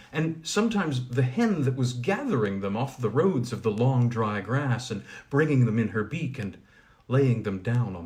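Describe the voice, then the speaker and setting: A man reading a fairy tale aloud with expressive, lively storytelling. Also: medium pitch. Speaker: audiobook reader. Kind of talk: storybook narration.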